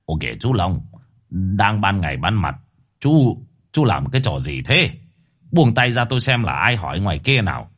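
Man playing a low-voiced halting village chief. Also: big pitch swings, a bit bright. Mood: puzzled. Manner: halting, stammering repetitions. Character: well-meaning village chief, an older man, puzzled conciliator.

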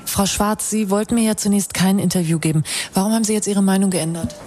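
Over a clearly noisy background comes an actress playing a young woman reporter in a radio play. She is driven and stubborn, with a medium-pitched voice and natural delivery. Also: fairly even intonation, fast, close to an everyday voice. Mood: indignant. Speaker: radio journalist. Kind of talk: naturalistic radio drama acting.

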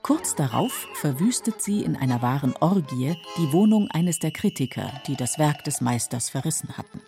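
A woman narrating a scripted literary radio feature in a measured, explanatory manner. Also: low-pitched. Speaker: radio presenter explaining a novel.

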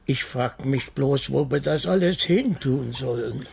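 An actor playing a tired, grumbling husband with a husky, gravelly voice in a radio crime drama. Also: male voice, mid-range voice, a little slow, a little mellow. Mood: weary. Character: weary husband, grumbly but giving in.

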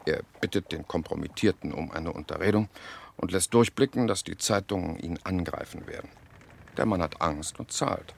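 An actor playing a cynical, worldly journalist and jovial schemer in a radio drama, sardonic and theatrical. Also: male voice, low-pitched, normal speed, vocal fry, slightly rough, exaggerated voice. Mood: confiding, wry.

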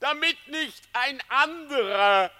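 A man performs a cunning fox in a verse radio play, condemned and pleading to the crowd. The voice is high and piercingly bright, declaiming slowly with mock piety in a long, hall-like reverb. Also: lively intonation, heavily acted. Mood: pleading, solemn. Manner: theatrical, solemn declaiming, mock-pious. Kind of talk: dramatized literary verse reading.

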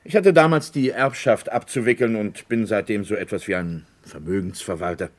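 An actor playing a village lawyer in a German radio crime drama, speaking in a formal, deliberate, hesitating and discreetly insinuating way. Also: male voice, lowish voice, natural voice. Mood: matter-of-fact.

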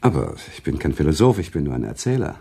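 An actor playing a worldly, sentimental male storyteller in a radio drama, teasing and emotional, with dramatic pauses and expressive swells. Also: heavily acted. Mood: earnest. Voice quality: husky, gravelly low voice. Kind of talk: German radio drama acting, conversational storytelling.

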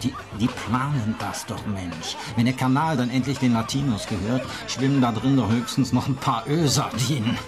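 Radio drama: male actor as foul-mouthed male conspiracy ranter, emphatic and crude, mid-pitched, over music. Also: normal speed, hardly any pauses, natural voice. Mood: mocking, boozy. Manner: emphatic, coarse, ranting. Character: foul-mouthed, ranting conspiracy-theorist drinker named Jonah. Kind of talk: German radio play acting. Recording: music behind the voice.

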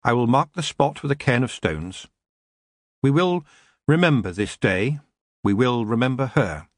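A man's voice: mid-range voice, expressive, a little slow, no echo, studio quality, lightly acted. A man voicing a young girl witch, speaking firmly and earnestly. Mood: solemn. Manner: firm, earnest, commanding. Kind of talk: audiobook character voice.

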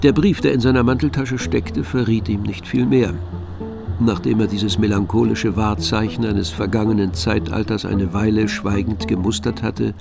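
A man narrating a radio drama from a script, with measured, literary storytelling. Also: fairly low pitch, fairly even intonation, creaky. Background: music.

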